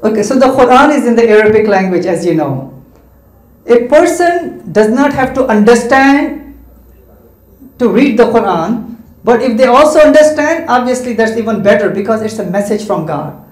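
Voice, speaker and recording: A man's voice: very high-pitched, lively intonation, moderate pace. A man whose first language is Hindi and Urdu, answering students' questions in a large hall on a handheld mic, listing his points and checking in with "right?". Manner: explanatory, lists points and adds "right?" checks. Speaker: Islamic speaker answering questions. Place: large indoor community hall. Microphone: handheld microphone. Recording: echoey hall.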